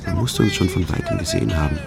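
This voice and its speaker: A man playing a secretive, world-weary army sergeant in a radio drama, measured and matter-of-fact. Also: deep voice, animated, vocal fry, loud music behind the voice. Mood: dry, measured. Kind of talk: radio drama, dry first-person narration.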